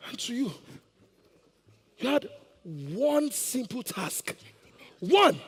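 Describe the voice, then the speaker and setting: A man's voice: very high voice, very animated, drawn-out words, fairly bright tone, breathy edge, full character voice. A man with a Nigerian accent acting a domineering, hot-tempered royal plotter on stage, imperious and full of threats. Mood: bitter. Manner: imperious, barking threats and proverbs.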